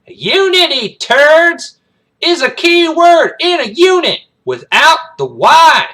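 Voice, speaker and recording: A man voicing a furious, hardcore Marine drill officer who barks drawn-out orders and mocking insults at young cadets. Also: very high voice, very animated, bright, forceful tone, voice pushed up, heavily acted. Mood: menacing, booming. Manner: barking, drawn-out commands full of mocking insults.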